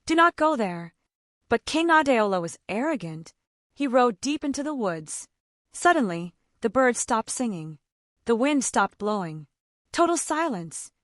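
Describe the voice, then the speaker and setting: A woman storyteller narrating a scripted folktale in a theatrical, suspenseful manner with short measured phrases. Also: a little high, big pitch swings, dry recording, clean studio sound.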